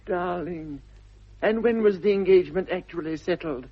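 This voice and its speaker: An actor with a British accent playing a smitten, flustered upper-class young Victorian gentleman in a 1960s radio comedy, hemming and gushing in a plummy manner. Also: sits high, moderate pace. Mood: ardent. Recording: dull vintage 1960s radio recording.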